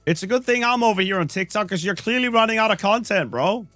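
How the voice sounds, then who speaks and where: A man's voice: high voice, expressive, fast talker, bright, forceful tone, no echo, faint music behind. A man with a Danish accent, joking and rambling to his chat while he plays a game, a little under the weather. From Denmark. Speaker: streamer. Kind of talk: live-stream chat while playing a game.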